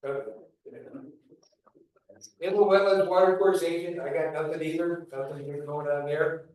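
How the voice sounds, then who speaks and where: A man chairs a small town meeting, running through motions and the agenda in a brisk, procedural way. His voice sits a little high and comes from far off in a very echoey room. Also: steady tone, darkish tone. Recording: echoey room, distant mic.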